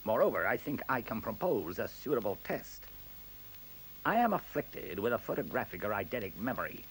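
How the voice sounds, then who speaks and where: A man's voice: lowish voice, big pitch swings, moderate pace, fairly bright tone, rough recording. A male actor playing an arrogant, sceptical professor in a radio play, with a precise and pompous manner. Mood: sceptical, challenging. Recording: faint hiss on radio play recording.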